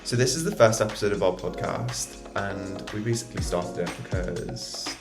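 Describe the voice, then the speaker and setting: A man in his early twenties with an English accent and a fairly low voice. He chats loosely and informally as a podcast co-host, with plenty of "like" along the way. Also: animated, normal speed, music in the background. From Newcastle and London, England. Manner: chatty, informal, frequent "like". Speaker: podcast co-host, dental student. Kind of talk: casual chat.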